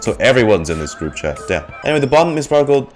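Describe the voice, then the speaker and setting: A woman's voice: rumbling low, very animated, fast, music in the background. A woman streamer giving casual reaction commentary on a game and reading its text aloud.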